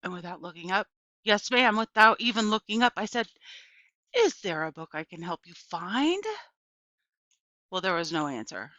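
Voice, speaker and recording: A woman's voice: lowish voice, bright, forceful tone, no room sound, lo-fi sound, full character voice. A woman voicing an elderly small-town librarian in a children's storybook, a folksy, emphatic storyteller who repeats herself and turns dramatic and wistful. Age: older. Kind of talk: children's storybook read aloud.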